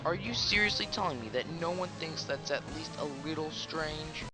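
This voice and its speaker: A man's voice: on the high side, expressive, normal speed, music in the background. A man giving scripted commentary for a gaming video, sarcastic and profane, with ranting asides.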